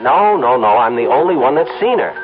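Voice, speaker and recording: An actor playing a middle-aged American advertising executive and husband in a radio drama, flustered, defensive and given to stammering. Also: male voice, medium pitch, sing-song, fairly quick, music in the background. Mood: urgent, businesslike. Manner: flustered, stammering, swooping emphasis. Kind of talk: old-time radio drama acting. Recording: thin, noisy phone-like old radio broadcast.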